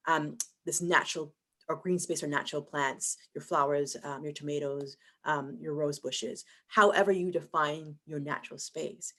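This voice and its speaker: A woman from Barbados with a notably low voice talks through her work as a panelist on a video call. She is thoughtful and measured and fills her pauses with "um" and "and so". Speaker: museum staff panelist. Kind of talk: online panel discussion.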